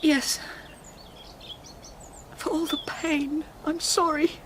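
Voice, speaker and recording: A woman's voice: high voice, flat delivery, drawn-out words, noisy background. An actor playing an unhappy, emotionally strained mother and estranged wife in a naturalistic radio drama. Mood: tearful, apologetic.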